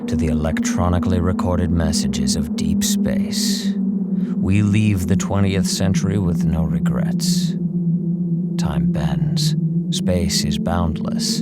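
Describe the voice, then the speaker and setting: A man's voice: deep voice, heavily acted. A man playing a portentous announcer, giving a grave, dramatic introduction to a science-fiction audio anthology.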